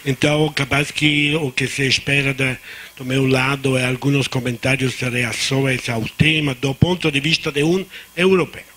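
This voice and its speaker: A man's voice: mid-range voice, steady tone, normal speed, bright, forceful tone. A man with an Italian accent, a native Italian speaker, giving unscripted remarks as a discussant on a conference panel, often restarting words and slipping into Spanish. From Italy.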